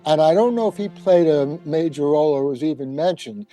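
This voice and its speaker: A man's voice: fairly high pitch, a little fast, mellow, no echo, faint music behind. An older man talking casually as a panel guest, wry and emphatic, wandering into asides as he explains his opinions. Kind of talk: casual discussion, storytelling.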